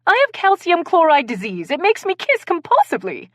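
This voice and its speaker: A woman narrator voicing an excitable, indignant dinner-party woman with an English accent in a mock murder mystery. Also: very high voice, a little fast, dry recording, clean studio sound, voice pushed up, full character voice. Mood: melodramatic. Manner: melodramatic.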